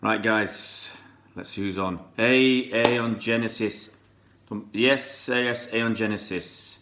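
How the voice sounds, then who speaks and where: Deep-voiced man chatting on a live stream. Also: steady tone, slow, background noise. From born in Pakistan, raised in England. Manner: chatty, greets viewers by name, rambling. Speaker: fan channel live streamer. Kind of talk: casual live-stream chat reading comments.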